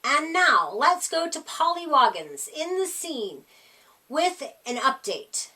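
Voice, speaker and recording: A woman's voice: high voice, sing-song, slow, sharp tone, voice pushed up, heavily acted. A woman voicing an upbeat, composed female frog TV meteorologist in a storybook, with emphatic announcing.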